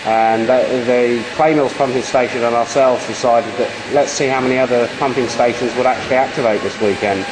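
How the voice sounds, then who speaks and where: A man's voice: medium pitch, fairly even intonation, brisk, few pauses. A man chatting as an amateur radio operator in a hesitant, rambling way, giving call signs. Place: large indoor beam engine room. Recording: clearly noisy room with machinery hum. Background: steam engines, machinery noise.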